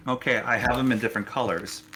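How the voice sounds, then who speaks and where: A man acting as game master, narrating casually and haltingly with frequent pauses. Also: mid-range voice, sharp tone, soft background music. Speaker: game master of a tabletop role-playing game.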